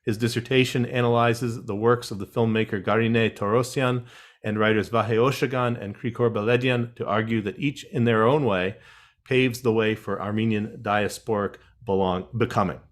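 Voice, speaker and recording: A man moderating an online panel, reading guest introductions in a measured way with wry asides over a video call. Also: fairly low pitch, steady tone.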